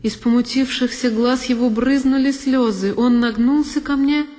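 A woman's voice: high voice, fairly even intonation, moderate pace, a little mellow. A woman narrating a literary one-woman audio play in an intense, theatrical manner. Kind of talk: dramatic scripted literary narration. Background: music with solo violin.

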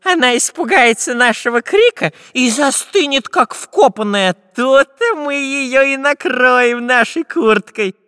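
A man voicing a cowardly, stammering male pirate spy in a squeaky, drawn-out, panicky voice. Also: expressive, pushed and bright, clear, resonant tone, no echo, clean studio sound, full character voice. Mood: scheming.

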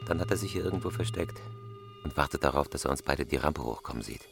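An actor playing a cold, unflappable professional armed robber in a radio crime drama, terse, commanding and matter-of-fact. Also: male voice, rumbling low, lively intonation, quick pace, creaky, over music, heavily acted. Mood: low, wary.

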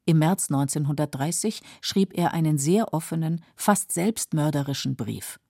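A woman reading scripted biographical narration in a measured, matter-of-fact way, as a documentary narrator. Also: low-pitched, animated, creaky, mellow, no echo, clean studio sound. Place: dry studio.

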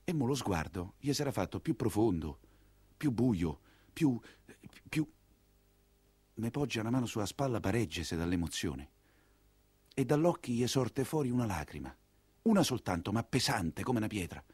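A man's voice: on the low side, sing-song, normal speed, long pauses, darkish tone, slightly rough, dry recording. An actor playing an earthy Roman coachman, telling his story in a colloquial, wry theatrical monologue with a Roman accent. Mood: hushed, narrating. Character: earthy Roman coachman narrator, plain-spoken, compassionate working man.

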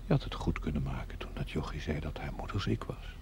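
A man playing a stern, wry confidant in a television period drama, speaking in a hushed, dry, reproachful manner. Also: extremely low pitch, expressive, fast talker, whispered. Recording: faintly noisy 1970s TV soundtrack.

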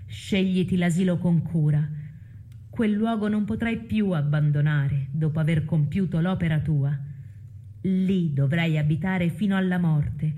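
A woman playing a solemn chorus of citizens in a theatrical radio drama, measured and admonishing. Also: on the low side, warm tone, close to an everyday voice. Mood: solemn warning.

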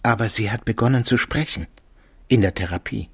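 A man playing a calm, measured clinic doctor in a radio drama, with a husky, gravelly voice and matter-of-fact delivery. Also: lowish voice, no room sound. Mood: measured, sober.

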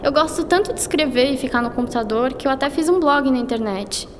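A woman's voice: high voice, fast, background noise, natural voice. A woman acting in a radio drama as a curious, chatty teenage schoolgirl with a Brazilian accent, speaking in a youthful, colloquial way. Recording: long reverb, loud music behind.

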